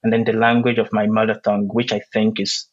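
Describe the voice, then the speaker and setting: A man from Nigeria, a poet whose first language is Igbo, speaking in an interview, pausing often with many "um"s as he searches for words. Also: lowish voice, level tone, dull audio. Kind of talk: reflective interview conversation.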